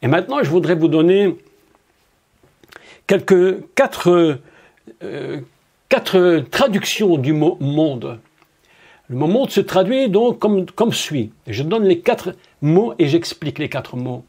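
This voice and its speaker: Slow, emphatic high-voiced male preacher. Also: sing-song, a little mellow, slightly rough. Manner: emphatic, slow and drawn out, with rhetorical questions. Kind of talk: sermon.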